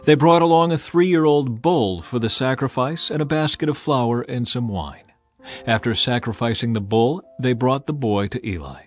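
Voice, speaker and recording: A man reads scripture aloud in a measured, solemn narration. His voice sits at a middling pitch for a man, and soft music plays under the reading. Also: lively intonation.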